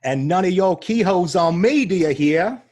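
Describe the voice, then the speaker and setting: A man's voice: high-pitched, animated, normal speed, a little mellow. A man voicing a menacing pirate who barks orders. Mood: commanding. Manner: barking orders. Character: menacing male pirate, bossy.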